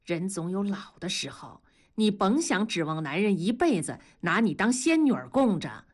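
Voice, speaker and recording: Worldly-wise older sister female narrator acting, frank, patient, admonishing, expressive audio drama delivery. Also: medium pitch, moderate pace. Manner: earnest, admonishing.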